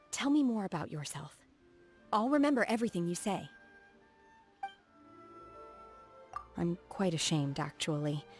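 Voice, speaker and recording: A woman voicing a reserved, earnest, socially awkward young female martial disciple in a video game, speaking in a formal, measured way. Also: on the low side, a little mellow, natural voice. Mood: shy, earnest. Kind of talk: video game character voice acting. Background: background music.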